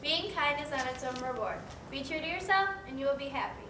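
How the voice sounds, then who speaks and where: A woman's voice: high-pitched, lively intonation, fast talker. A woman playing an earnest, friendly young girl in a children's stage play, with a plain-spoken delivery. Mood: sincere. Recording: echoey stage, far mic, clear noise.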